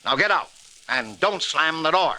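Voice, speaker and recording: Bossy male editor, old radio drama, very hard-edged, raised pitch, crackly recording. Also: expressive, moderate pace, bright, forceful tone. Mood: impatient. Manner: gruff, commanding barked orders. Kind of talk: 1940s radio drama acting.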